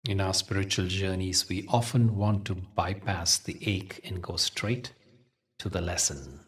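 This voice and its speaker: A man leading a guided meditation as a teacher, telling spiritual stories in a gentle, measured, soothing way with deliberate pauses. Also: low voice, far from the mic.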